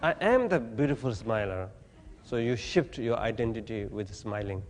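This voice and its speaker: A man from Tibet, giving a Buddhist teaching in halting, plain English with gentle humor. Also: fairly low pitch, expressive, big-room sound, slight background noise. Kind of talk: dharma talk to an audience. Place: talk venue with audience.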